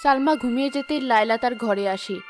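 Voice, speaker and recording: A woman's voice: fairly high pitch, fairly quick, darkish tone, faint music behind. A woman giving scripted narration of a fairy tale as storyteller.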